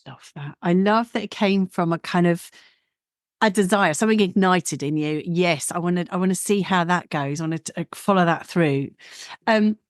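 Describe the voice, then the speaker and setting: A woman's voice: fairly low pitch, normal speed, no room sound. Encouraging woman podcast host, dry sound. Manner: encouraging, affirming. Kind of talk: conversational interviewing.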